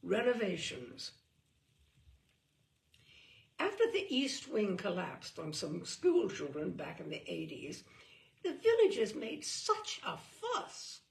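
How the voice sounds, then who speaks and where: A woman's voice: medium pitch, huge pitch swings, slow pace, gravelly, pauses often. A woman giving an arch, theatrical, mock-serious reading of a humorous book. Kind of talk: theatrical reading aloud of comic prose.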